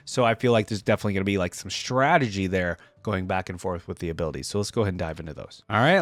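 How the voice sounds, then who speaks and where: A man's voice: mid-range voice, no room sound, clean studio sound. Casual male reaction commentator. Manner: conversational, thinking out loud. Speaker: reaction video host and commentator. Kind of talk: casual reaction commentary mixed with reading text aloud.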